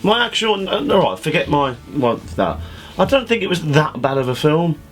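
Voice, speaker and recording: British man chatting, lively swooping intonation. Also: a little high, faint music behind. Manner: rambling, halting sentences. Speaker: podcast co-host. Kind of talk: casual chat.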